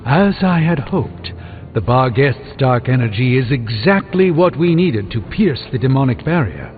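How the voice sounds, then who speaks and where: An actor playing a pompous middle-aged sorcerer in a video game, speaking formally and precisely with dry, deadpan delivery. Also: male voice, medium pitch, measured pace, natural voice. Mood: haughty, pleased. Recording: muffled phone-like audio under loud music.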